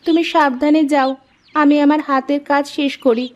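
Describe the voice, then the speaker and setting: A caring, inquisitive village wife, voiced by a woman for a cartoon. Her voice is high, and she speaks in homely dialogue. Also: fairly even intonation, normal speed, close to an everyday voice. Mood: curious, agreeable.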